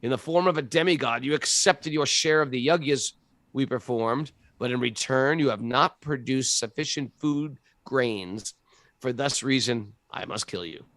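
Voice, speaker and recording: A man reading aloud the words of an angry ancient king, in a firm, deliberate voice with some gravel. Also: mid-range voice, a bit bright, dry recording, natural voice. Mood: stern.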